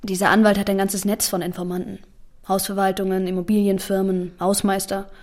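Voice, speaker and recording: A woman's voice: mid-range voice, level tone, a little fast, a little mellow, close to an everyday voice. An actress playing a guarded, defiant and pragmatic woman in a German radio drama, with natural dialogue delivery. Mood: matter-of-fact.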